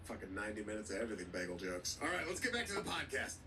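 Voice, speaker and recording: A man's voice: lowish voice, big pitch swings, rapid-fire, sharp tone. A man hosting a podcast, joking and teasing in casual chat. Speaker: podcast host. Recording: distant mic, noisy background.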